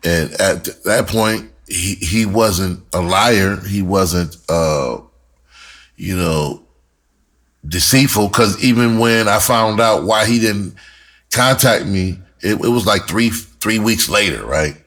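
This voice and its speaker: American man, middle-aged, fairly low pitch. Candid, profane, casual storyteller who repeats himself for emphasis. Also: takes it slowly, a bit bright. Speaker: rapper and writer telling their story.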